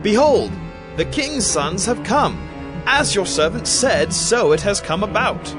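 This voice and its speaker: A man's voice: high-pitched, lively intonation, a little slow, over music. A man playing an earnest royal adviser, urgent and deferential, in a dramatized audio Bible. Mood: eager announcing. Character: earnest male royal adviser reassuring his king.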